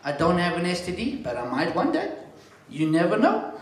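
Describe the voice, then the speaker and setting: A man with a South African accent compering a stand-up comedy night, dropping deadpan one-liners and chatting to the crowd from the stage. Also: high voice, moderate pace. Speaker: comedy show MC. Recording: very echoey room.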